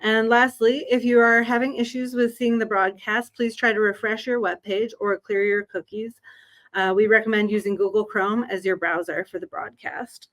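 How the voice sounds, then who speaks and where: A woman's voice: medium pitch, level tone, moderate pace, a bit bright. A woman acting as webinar moderator, politely reading housekeeping notes and introducing the speaker.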